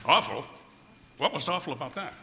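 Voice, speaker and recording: A man's voice: fairly high pitch, expressive, fairly quick, vocal fry, pushed and bright, exaggerated voice. A man playing a flustered, boastful straight man in a 1940s radio comedy, stammering and repeating himself. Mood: affable. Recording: echoey stage hall, background noise.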